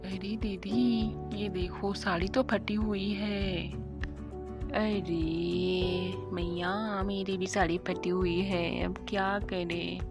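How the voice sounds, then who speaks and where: A woman playing a crafty, smug village sister in an animated moral story, with a sly, insistent manner. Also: mid-range voice, drawn-out words, music in the background. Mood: dismayed.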